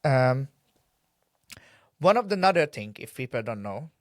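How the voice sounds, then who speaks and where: Hungarian-accented man, young, cheeky podcast banter, very animated pitch. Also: normal speed, no room sound, clean studio sound. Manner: playful, blunt and teasing. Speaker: podcast co-host. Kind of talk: casual podcast chat.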